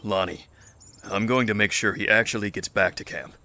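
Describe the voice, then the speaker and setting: An actor playing a calm, authoritative leader of survivors in an audio drama, measured, polite and firm. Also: male voice, lowish voice. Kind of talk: scripted audio drama acting.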